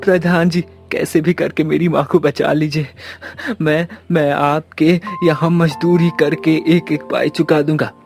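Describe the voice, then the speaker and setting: Cartoon casting: earnest, aggrieved young village man played by a male actor, fairly high voice, emphatic, music underneath. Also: flat delivery, unhurried, creaky. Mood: desperate pleading. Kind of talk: scripted cartoon dialogue. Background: background music.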